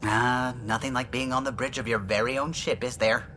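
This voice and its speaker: A man's voice: mid-range voice, fairly even intonation, normal speed, bright, forceful tone, slight background noise, lightly acted. An actor playing a male alien starship pilot in a video game, chatty, precise and fond of reminiscing. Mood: fond, proud. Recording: music behind the voice.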